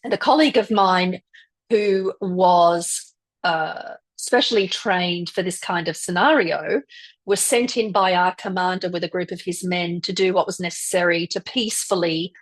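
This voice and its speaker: Woman, lowish pitch, guest speaker, personal storytelling, articulate, measured, dramatic phrasing. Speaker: guest speaker, former military officer.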